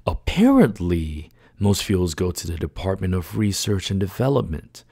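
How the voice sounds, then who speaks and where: A man's voice: low-pitched, lively intonation, moderate pace, gravelly, dark tone, breathy edge, no echo, full character voice. A man playing a cool, articulate supernatural fighter who muses and explains pedantically in an anime fan drama. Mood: musing, explanatory.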